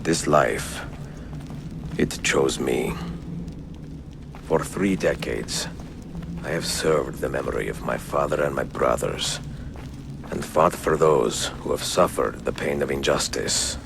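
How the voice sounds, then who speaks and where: An actor playing a weary, reflective older Italian assassin in a game cutscene, speaking in a measured, solemn way with an Italian accent. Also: male voice, extremely low pitch, vocal fry, background noise, heavily acted. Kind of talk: dramatic game cutscene dialogue and letter narration.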